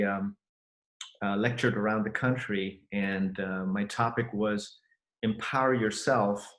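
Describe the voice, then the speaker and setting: A middle-aged man from Germany hosts a podcast conversation, speaking earnestly and often pausing on fillers and restarts. His voice sits a little low. The sound carries a noticeable room echo. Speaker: podcast host. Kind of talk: conversational interview.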